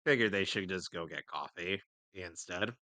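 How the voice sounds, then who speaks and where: A man's voice: sits low, normal speed, bright, forceful tone, dry recording. A man co-reading a story aloud on a livestream, in casual narration with asides. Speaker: livestream co-reader.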